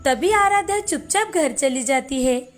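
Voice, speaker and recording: A woman narrating a children's moral story in a steady, expressive scripted reading. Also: sits high, a little slow, slight background noise.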